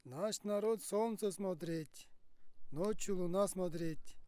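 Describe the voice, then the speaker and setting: An actor playing a calm Tuvan herder and captive, speaking Russian with a Tuvan accent in sparse, broken phrases of folk wisdom. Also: male voice, sits high, slow, dry recording. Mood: calm, instructive. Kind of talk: naturalistic film acting.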